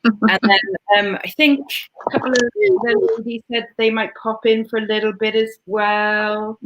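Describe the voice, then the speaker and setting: A woman's voice: on the high side, a little slow, lo-fi sound, a little hiss or hum. A Welsh woman hosting a casual live stream, chatting in a rambling way with lots of fillers and restarts. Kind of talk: casual chat.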